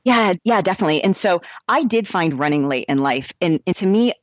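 A woman's voice: fairly low pitch, expressive, a little fast, dry recording. The enthusiastic, chatty American woman in her forties you would hear as the guest on a hobby runners' podcast, recorded dry and close. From New Hampshire, United States. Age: 40s. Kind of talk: casual conversational storytelling.

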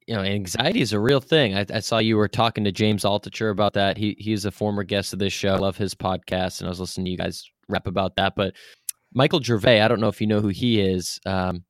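A man with a fairly low voice, captured close and dry, hosts a casual interview. His phrasing is informal and loose, and his questions wander as he builds them. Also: fairly even intonation, fast, no room sound. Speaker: podcast host, interviewer.

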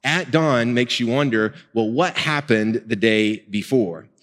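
A man's voice: medium pitch, measured pace, fairly bright tone. A male pastor, preaching in a conversational, earnest way with small laughs. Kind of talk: sermon and prayer. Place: church sanctuary. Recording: music and long hall reverb.

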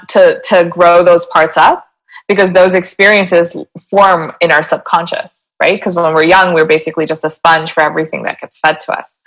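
Earnest Canadian woman, low-pitched. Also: poor audio. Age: 30s. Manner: earnest, rambling with many fillers. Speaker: guest, transformation coach. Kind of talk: casual interview chat.

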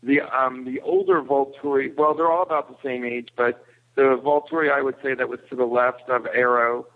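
Guy on a thin phone-sounding line, bit of fry, casual, hunts for his words. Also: medium pitch, steady tone, moderate pace, narrow, tinny audio. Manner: hesitant, searching for words. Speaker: podcast guest. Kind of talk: casual chat, film review.

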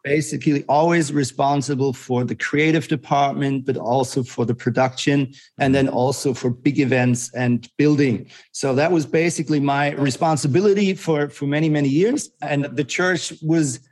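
A man's voice: medium pitch, fairly even intonation, normal speed, hardly any pauses, a little mellow, poor audio. A man in his forties who grew up in Germany, a pastor telling his story as an interview guest, earnest and restarting often as he recalls things. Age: 40s.

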